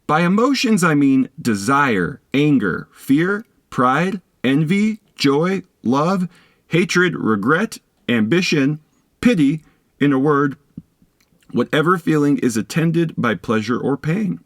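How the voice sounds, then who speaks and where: Male narrator for philosophy audiobook; deliberate, instructive phrasing with animated pitch movement, very dry sound. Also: no echo. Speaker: audiobook reader. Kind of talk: scripted reading of a philosophy text.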